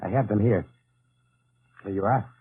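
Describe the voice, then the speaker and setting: An actor playing a guarded undercover spy in an old-time radio drama, restrained and terse. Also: male voice, on the low side, normal speed, breathy edge. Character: guarded undercover American spy posing as German lieutenant. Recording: noisy, tinny old radio recording.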